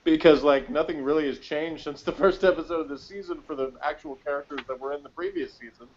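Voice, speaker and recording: A man's voice: on the high side, fast. Sceptical man in slightly muffled lo-fi audio. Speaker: co-reviewer in a fan discussion. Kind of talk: casual opinionated chat.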